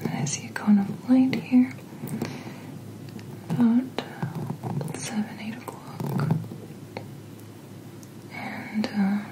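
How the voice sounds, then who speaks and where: A woman speaking in a soft, breathy whisper, gently and reassuringly playing a doctor in an ASMR roleplay, close to the microphone. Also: on the high side, steady tone, extremely slow, long pauses, rough recording. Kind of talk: soft-spoken ASMR medical checkup roleplay.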